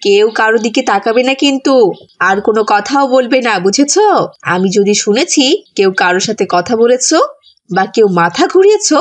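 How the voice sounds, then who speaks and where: Woman playing a strict high-voiced cartoon schoolteacher. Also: animated, normal speed. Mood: stern, instructing. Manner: scolding, instructive, ends orders with check questions. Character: strict but forgiving female schoolteacher. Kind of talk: children's cartoon voice acting.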